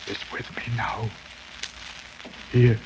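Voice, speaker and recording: An actor playing a broken, half-crazed adventurer turned beggar, rambling and haunted, in 1940s radio drama style. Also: male voice, lowish voice, fairly even intonation, takes it slowly, gravelly. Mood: haunted, reverent. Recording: noisy old radio recording, muffled band.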